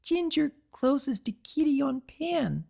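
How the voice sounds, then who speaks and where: A woman voicing a small, childlike female cat cookie come to life, simple and sweet, in halting baby talk. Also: sits high, big pitch swings, vocal fry, darkish tone, pitched above the performer's own voice, exaggerated voice. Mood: solemn.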